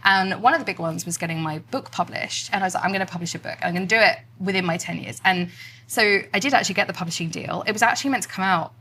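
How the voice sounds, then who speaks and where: A woman's voice: on the low side, rapid-fire, bright, forceful tone, husky. A woman hosting a podcast interview, chatty and affirming, asking reflective questions. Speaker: podcast host. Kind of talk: casual interview conversation.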